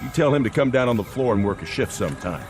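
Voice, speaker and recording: A man's voice: medium pitch, lively intonation, fast talker, music in the background, close to an everyday voice. A man playing a blunt, exasperated veteran factory foreman near pension age in a radio drama, plain-spoken and colloquial. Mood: grumbling, defiant. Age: older.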